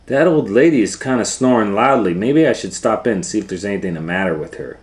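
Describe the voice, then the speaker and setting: A man voicing a watchful village huntsman in a children's story, muttering deliberately to himself. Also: fairly low pitch, lively intonation, darkish tone, reverberant, pitched above the performer's own voice, lightly acted. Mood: curious, concerned. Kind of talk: children's storybook read-aloud.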